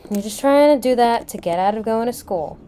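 A woman playing a firm mom, mellow voice. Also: on the high side, lively intonation, a little fast, clean tone, lightly acted. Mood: firm, exasperated. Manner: matter-of-fact, gently scolding. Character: firm, patient mother getting kids ready for school. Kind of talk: improvised family roleplay in a video game.

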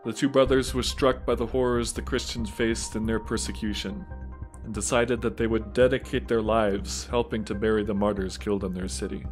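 A man reading scripted storytelling narration for an audio drama. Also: lowish voice.

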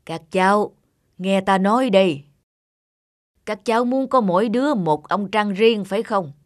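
A woman's voice: mid-range voice, measured pace, dry recording, natural voice. A woman voicing a wise, kindly old grandfather monkey in a children's cartoon, patiently instructing. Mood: gentle. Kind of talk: animated children's fairy tale acting.

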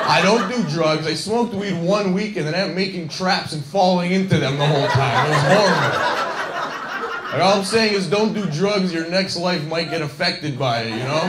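A man's voice: a little high, heavy background noise. Young man rambling through a stand-up set. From Scarborough, Canada. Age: young adult. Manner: rambling, self-deprecating, lots of fillers. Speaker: stand-up comedian. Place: comedy club stage. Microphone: handheld mic. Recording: echoey club with loud laughing crowd. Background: audience laughter.

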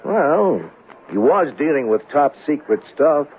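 A man playing a measured, cautious police captain in a 1970s radio drama, matter-of-fact and procedural. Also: on the high side, big pitch swings. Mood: cautioning. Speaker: actor in a radio mystery drama. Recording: narrow, noisy old radio recording.

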